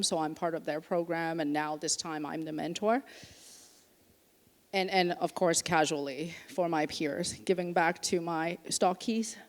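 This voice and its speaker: Woman, founder from Canada, fairly low voice, chatty panel talk, long hall reverb. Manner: chatty, restarts sentences. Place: conference stage.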